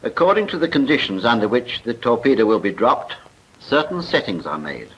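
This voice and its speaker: A man's voice: medium pitch, big pitch swings, fairly quick, a bit bright. A man with a British accent giving a formal, precise scripted narration in the style of a 1940s military training film. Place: voice-over recording for a film. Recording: muffled, noisy 1940s film soundtrack.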